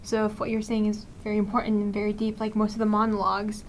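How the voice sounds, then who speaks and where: A woman's voice: mid-range voice, monotone, quick pace. A young woman student actor answering casually in an interview. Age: teens to young adult, high school student. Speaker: student cast member being interviewed. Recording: faint background room noise.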